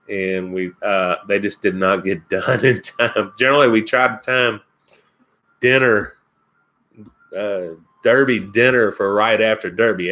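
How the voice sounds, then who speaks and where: A man's voice: fairly low pitch, a bit bright. A man from Kentucky with a Kentucky accent, hosting his first live stream and chatting casually with viewers, rambling and chuckling as he answers comments. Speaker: outdoors channel host.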